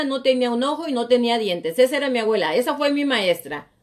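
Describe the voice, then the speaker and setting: A middle-aged woman, giving an emphatic motivational talk as a coach on a live show, often repeating phrases. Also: high-pitched. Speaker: real estate coach and live show host.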